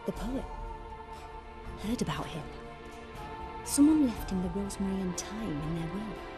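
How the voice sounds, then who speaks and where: A calm, enigmatic female seer , acted by a woman from a fantasy game. Her voice sits at a medium pitch and she speaks in a measured, cryptic way. Music plays clearly behind her. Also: close to an everyday voice. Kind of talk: video game cutscene acting.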